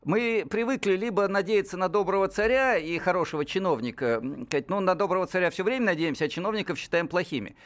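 A radio co-host in his sixties talks in a professorial, lecturing way. He is a man with a fairly high voice. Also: fast, few pauses, fairly bright tone. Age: 60s. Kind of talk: radio talk show discussion.

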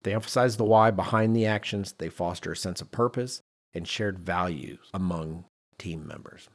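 A man's voice: low-pitched, normal speed. A man co-hosting a podcast, talking conversationally and explaining with emphasis and rhetorical repetition. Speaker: podcast co-host. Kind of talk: conversational podcast discussion on leadership.

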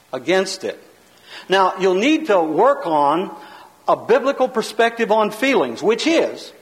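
A man with a high, carrying voice teaches like a preacher, loud and emphatic, hammering points home in rhythm. His words ring out with a long reverb, as if he is in a large hall. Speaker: pastor teaching a seminar. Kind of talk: sermon-style teaching lecture.

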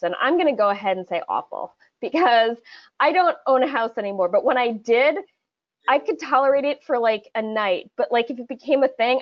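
Woman, high-set voice, podcast host, playful banter, laughs easily, dull lo-fi sound. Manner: playful, laughs often while talking. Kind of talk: casual chat, hosting a game segment.

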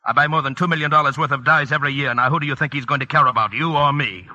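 Sharp, forceful domineering patriarch. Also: male voice, mid-range voice, very fast, dull audio. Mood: scornful, commanding. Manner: blunt, commanding, scornful. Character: domineering, wealthy, protective older father-in-law. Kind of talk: 1940s-50s radio drama acting.

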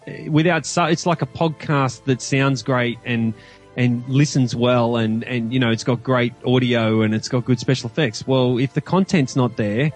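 A man with an Australian accent giving his opinions in a casual film discussion, emphatic and reasoning aloud as he goes. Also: mid-range voice. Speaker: podcast guest reviewing a film.

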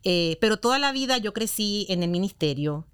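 A middle-aged woman telling her faith story as a guest on a church radio show, steady and earnest. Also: mid-range voice, moderate pace, smooth, no room sound, clean studio sound. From Puerto Rico. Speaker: interview guest.

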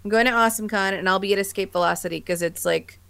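A woman with an American accent and a smooth, clear voice. She co-hosts a casual podcast and talks with gushing enthusiasm. Her pitch sits in the middle range for a woman. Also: fast talker, bright, forceful tone. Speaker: podcast co-host. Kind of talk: casual chat about sci-fi and comics.

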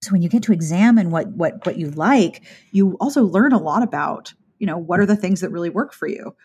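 A woman's voice: on the low side, lively intonation, fairly quick, a little mellow, breathy edge. A woman hosting a podcast interview in a talkative, thoughtful, casual way. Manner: thoughtful, talkative, frequent restarts. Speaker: podcast host.

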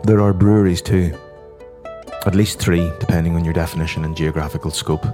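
Irish male host, very deep voice, calm scripted narration, guitar playing underneath. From Ireland. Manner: measured, informative delivery. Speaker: podcast host. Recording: music playing behind the voice. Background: guitar music.

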